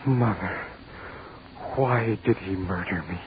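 An actor playing the weary, sorrowful ghost of a drowned son in a radio drama, speaking in a mournful, halting, pleading way. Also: male voice, sits low. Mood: anguished. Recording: dull, noisy old radio recording.

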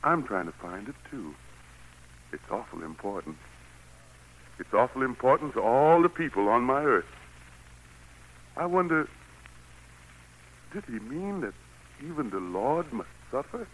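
An actor playing the Lord as a solemn, gentle, musing God in a 1940s radio drama. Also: male voice, mid-range voice, very animated. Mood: solemn, pensive. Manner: grave, musing, slow to answer. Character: the Lord, solemn, gentle, troubled male God in human form. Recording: noisy vintage 1940s radio broadcast.

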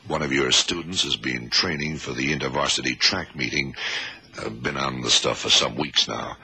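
An actor playing a shrewd, methodical FBI investigator in a radio drama, questioning deliberately in a gravelly voice with murmured hums. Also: male voice, extremely low pitch, bright, forceful tone, full character voice. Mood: politely probing. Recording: 1970s radio drama, faint noise.

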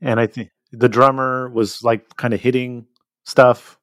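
A man's voice: mid-range voice, level tone, a little slow, fairly bright tone, no room sound, clean studio sound. A man hosting a podcast and asking curious follow-up questions with fillers. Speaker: podcast host. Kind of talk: casual interview questions.

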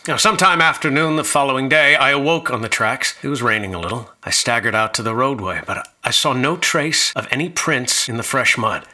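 A young college student haunted by what he has seen. His voice is very sharp and forward, at an ordinary male pitch. He narrates in radio drama style and grows hesitant and uneasy in conversation. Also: expressive, brisk, few pauses. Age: young adult.

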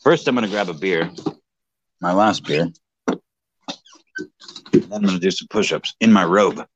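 A man's voice: low voice, unhurried, muffled recording, slight background noise. A man co-hosting a livestream, chatting casually, profane and self-mocking, rambling into long stories. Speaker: livestream co-host.

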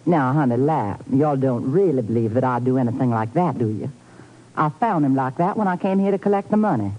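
A woman's voice: extremely low pitch, lively intonation, fairly quick. A sassy, indignant Southern woman in an old radio drama. She drawls in a folksy Southern accent, sweet on the surface and accusing underneath. The recording is muffled, as from 1950s radio. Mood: coy. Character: indignant, sassy Southern belle, protective of her sister.

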